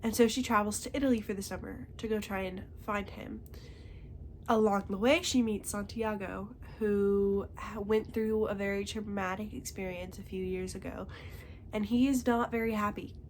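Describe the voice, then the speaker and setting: A woman's voice: mid-range voice, steady tone, normal speed, a little mellow, slight background noise. A young woman with a congested voice chatting casually about the books she read, often checking in with "okay?". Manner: chatty, tags remarks with "okay?". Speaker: book reviewer. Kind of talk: casual chat.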